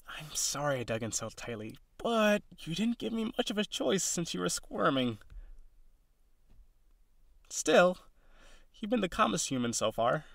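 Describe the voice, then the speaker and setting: Man playing an excitable, nervous male harpy, animated pitch. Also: dry recording. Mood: apologetic, rambling. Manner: hesitant, gentle, excitable, dramatic. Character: eager, nervous, awkward young male harpy courting a human. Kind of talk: audio roleplay addressed to the listener.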